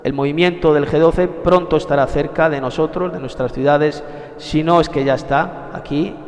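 A man's voice: a little high, fairly even intonation, normal speed, darkish tone. Male preacher in long reverb. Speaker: preacher leading an online Bible meeting. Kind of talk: sermon closing, addressing the congregation.

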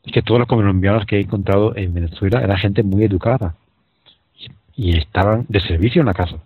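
A German man speaking Spanish with a German accent, a participant in an online chat, talking in a measured, slightly halting way. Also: fairly low pitch, dry recording. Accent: German, speaking Spanish learned in Madrid. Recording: husky voice over a tinny phone-like line.

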